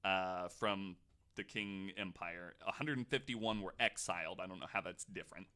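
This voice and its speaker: Man, podcast host, lower pitch, crisp bright edge, casual comic storytelling, informal asides. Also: normal speed. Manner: informal, swears, jokey asides.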